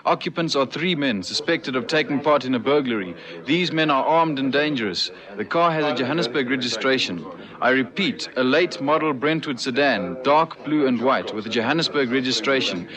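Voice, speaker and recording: An actor playing a measured, official police radio dispatcher in a vintage radio drama. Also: male voice, medium pitch, lightly acted, low-quality recording. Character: formal, clipped police radio control room dispatcher. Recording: old radio recording, background chatter.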